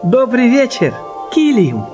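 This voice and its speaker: An actor playing a polite, shrewd Chinese detective with courteous, flowery manners in a radio play. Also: male voice, very high-pitched, huge pitch swings, slow, over music, exaggerated voice. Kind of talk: Russian radio play acting.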